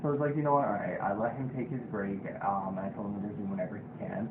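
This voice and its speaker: A man's voice: on the low side, steady tone, very fast. A young man playing a job candidate, talkative and leaning on "you know" as he answers. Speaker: job candidate in a role-play. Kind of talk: casual role-play. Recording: tinny, noisy, echoey.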